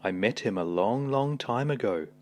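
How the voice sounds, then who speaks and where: A man voicing a big monster father from a storybook, gravely warning his child. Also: lowish voice, expressive, normal speed, close to an everyday voice.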